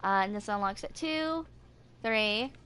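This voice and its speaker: A woman in her twenties streaming a video game, talking casually in a rambling, halting way that circles back on itself. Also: medium pitch, lively intonation, fairly bright tone, clean tone. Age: 20s. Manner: rambling, halting, repeats herself. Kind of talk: casual livestream chat with some reading aloud of game text.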